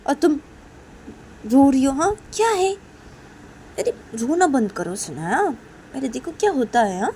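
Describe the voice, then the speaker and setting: A woman's voice: sits high, very animated, unhurried, long pauses, mellow, slight background noise, pitched above the performer's own voice, exaggerated voice. A woman voicing an exasperated mother in a story, nagging insistently and repeating herself. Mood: scolding, urging. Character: nagging, exasperated, scolding mother of young women.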